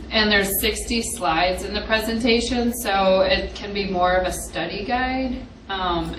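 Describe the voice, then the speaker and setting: A young woman lectures softly in a plain, middle-pitched voice, stopping often on ums. She is picked up from across an echoey classroom. A steady hiss sits under the sound. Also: distant mic. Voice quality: quiet voice. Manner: hesitant, many ums, laughs softly. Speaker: presenter on aquatic ecology. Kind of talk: informal study session lecture. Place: classroom with hard walls.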